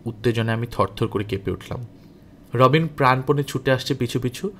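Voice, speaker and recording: A man reading a novel aloud as an audiobook narrator, in an expressive, dramatic storytelling style. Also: mid-range voice, fairly quick, a little hiss or hum.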